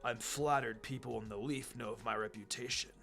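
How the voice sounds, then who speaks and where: A low-pitched, menacing swordsman villain from an adventure story, acted out by a male reader. He is cocky and mocking, taunting in a smug drawl. Music plays under the voice. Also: fairly even intonation, fairly quick, vocal fry, a bit bright.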